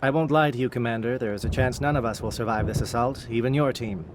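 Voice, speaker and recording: A man's voice: medium pitch, fast, slight background noise, lightly acted. A man voicing a stoic, dignified alien military commander in a video game, speaking with clipped, formal precision. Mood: businesslike, grave. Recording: clear music behind the voice.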